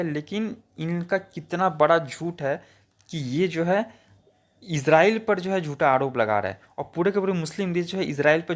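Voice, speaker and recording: Man, Indian accent, slightly high voice, colloquial opinionated explainer to viewers. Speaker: commentator. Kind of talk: informal explanatory news commentary to viewers.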